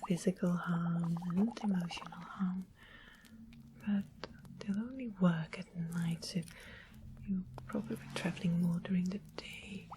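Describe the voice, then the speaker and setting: A woman voicing a sweetly sly royal witch in a soft breathy whisper for an ASMR fantasy roleplay. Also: fairly low pitch, steady tone, measured pace, natural voice. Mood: musing, coaxing. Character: sweetly sly royal witch trading rare magical items. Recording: clearly noisy close whispered recording.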